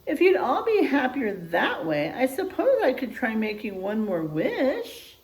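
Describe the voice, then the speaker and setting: A woman voicing a tiny, timid male warthog from a storybook, squeaky, earnest and apologetic. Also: wildly swooping pitch, a little slow, a little hiss or hum, heavily acted, low-quality recording. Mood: thoughtful. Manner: earnest, pleading.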